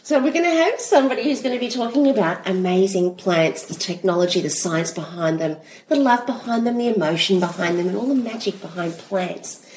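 A woman hosting a podcast, her voice nasal and stuffy from the flu. She talks playfully with a lively, swooping pitch and laughs often. The room has an echoey ring. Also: fairly quick, hardly any pauses, a little hiss or hum. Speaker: podcast co-host. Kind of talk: casual chat.

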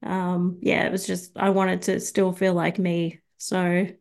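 A young woman with an Australian accent, a guest on an interview podcast, speaking hesitantly with many ums and soft, trailing phrases. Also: on the low side, monotone, a little slow, creaky. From Sydney, Australia. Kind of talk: casual interview chat.

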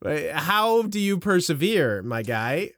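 A man's voice: on the high side, very animated, slow pace, a bit bright, no room sound, studio quality. A man co-hosting a podcast in casual banter, teasing and sarcastic, a bit nasal from a cold. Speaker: podcast co-host.

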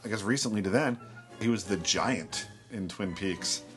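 A man's voice: fairly low pitch, moderate pace, over music. A man co-hosting a podcast who chats casually about films. He rambles with enthusiasm and often trails off mid-thought. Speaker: podcast co-host. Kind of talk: casual film discussion.

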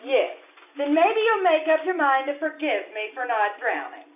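A saucy, coy wife played by a woman in a live radio-play comedy. Her voice is high and very animated, theatrical and teasing, on a dull muffled recording. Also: moderate pace. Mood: reproachful.

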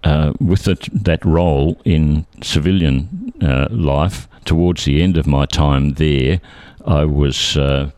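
An Australian man in his seventies with a very low, deep voice, recorded close and dry. He reminisces conversationally, phrasing things carefully and sometimes correcting himself. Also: takes it slowly, a little mellow, dry recording. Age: 70s. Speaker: interview guest, retired air traffic controller.

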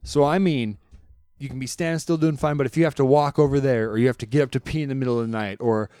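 A man's voice: mid-range voice, fairly even intonation, fast, mellow, clear, resonant tone, no room sound, studio quality. A man hosting a podcast in casual chat, posing hypothetical questions in a playful, laughing way. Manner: playful, laughing, spins out would-you-rather questions. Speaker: podcast host.

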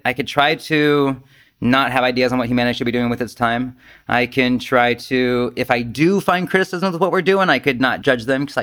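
A man giving an informal, rambling Zen talk as a retreat teacher, conversational, animated and self-deprecating. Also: medium pitch.

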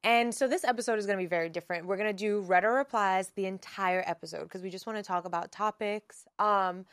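A woman's voice: medium pitch, quick pace, fairly bright tone, clean tone, no room sound, clean studio sound. A woman in her twenties hosting a podcast, explaining things frankly in casual chat. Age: 20s. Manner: frank, explains the game rules. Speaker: podcast host.

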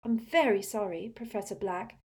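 Woman as young girl witch, prim, earnest, raised pitch, insistent, storybook character. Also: moderate pace, close to an everyday voice. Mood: apologetic. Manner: polite but insistent. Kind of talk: audiobook character acting.

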